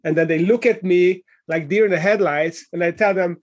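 Middle-aged man, Hungarian accent, fairly high voice; thoughtful interview guest, lots of "uh" and restarts. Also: normal speed, a little mellow. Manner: thoughtful, many fillers and restarts. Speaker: guest, leadership coach and author. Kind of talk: conversational interview answer.

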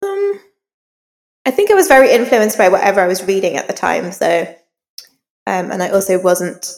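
A woman's voice: medium pitch, lively intonation, normal speed. A woman speaking as an interview guest, informal and self-deprecating, telling personal anecdotes in a conversational way. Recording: long reverberant hall echo.

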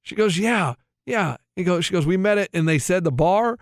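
A man co-hosting a sports podcast, excitable and repetitive, telling casual comic stories and acting out the dialogue. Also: high voice, normal speed, no echo, clean studio sound. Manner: excitable, repeats himself, acts out dialogue. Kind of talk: casual comic banter and storytelling.

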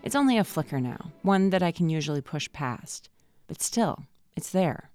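A woman in her forties, hosting a podcast and narrating a personal story in a calm, reflective way. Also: low-pitched, normal speed, no echo, clean studio sound, soft background music. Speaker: podcast host.

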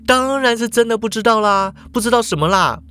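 A woman's voice: on the high side, a bit bright, faint music behind, pitched above the performer's own voice, close to an everyday voice. A man putting on a pouting feisty young woman. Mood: sulky. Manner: whiny, pouting, quick to argue. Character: feisty sulky young woman caught in danger.

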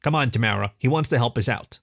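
A man's voice: mid-range voice, quick pace, creaky, no echo, close to an everyday voice. A man voicing a bossy, irritable young feline club leader with a formal, commanding manner in a storybook. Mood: irritated.

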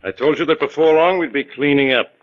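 A man playing a slick, domineering séance swindler, insistent and smooth-talking, in 1940s radio style. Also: on the high side, fairly quick, natural voice. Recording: dull old 1940s radio recording.

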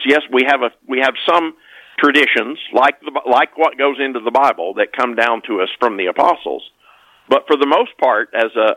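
A man's voice: mid-range voice, pushed and bright, no room sound, rough recording. A man speaking as an author guest on a podcast, explaining things conversationally and emphatically, often repeating and rephrasing his points.

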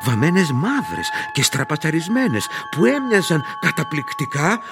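An actor playing a brooding male narrator in a horror radio play, speaking dramatically and suspensefully with drawn-out pauses. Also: on the high side, very animated. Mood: awed, ominous. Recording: loud music under the voice.

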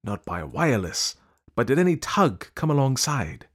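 A man voicing a fussy, precise male detective who asks polite, probing questions in a deliberate way. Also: mid-range voice, very animated, breathy edge, voice pushed up.

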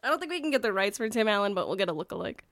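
A woman's voice: mid-range voice, very animated, rapid-fire, a bit bright. A woman joking around as a player during a tabletop game. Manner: playful, joking. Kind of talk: casual banter at a game table.

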